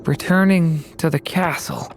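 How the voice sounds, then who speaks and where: A woman's voice: low voice, unhurried, noisy background. A woman voicing a young, naive boy dragon in a game, earnest and plaintive. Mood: wistful. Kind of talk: game voice acting.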